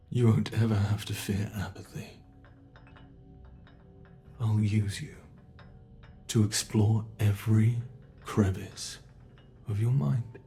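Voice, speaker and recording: A British man playing a slow, gravelly monster. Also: on the low side. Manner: slow, intimate, menacing delivery with soft whispers. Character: ancient bored male monster, menacing and cruel. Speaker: ASMR roleplay voice actor. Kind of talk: ASMR horror roleplay.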